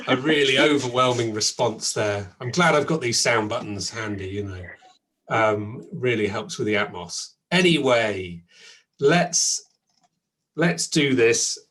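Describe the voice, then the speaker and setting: A man's voice: medium pitch, fairly bright tone. A man hosting an online event, chatty and thankful, with frequent ums and restarts. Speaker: event host.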